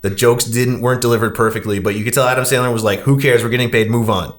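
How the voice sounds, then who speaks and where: A man's voice: medium pitch, steady tone, fast. A man hosting a casual film discussion, talking in an opinionated, emphatic, conversational way. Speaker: podcast host.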